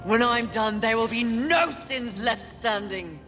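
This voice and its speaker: A woman's voice: fairly high pitch, slow, reverberant, in a large hall, music in the background. An actress playing a proud, short-tempered female warrior in a video game, curt, imperious and combative. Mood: fierce scorn. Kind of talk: dramatic video game acting.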